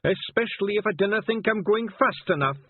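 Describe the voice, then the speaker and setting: A man voicing a pushy, rich male passenger who speaks broad Scots in a gruff, bossy way, with a husky voice. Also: high-pitched, lively intonation, brisk, slight background noise, pitched above the performer's own voice. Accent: Scottish. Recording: dry tinny phone-like sound.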